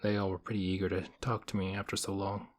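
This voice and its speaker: A man playing a deep-voiced, monotone stoic nerd. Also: close to an everyday voice. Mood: subdued, matter-of-fact. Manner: deadpan, understated, measured short phrases. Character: stoic young nerdy man, reserved, quietly affectionate. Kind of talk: intimate audio roleplay, speaking to the listener.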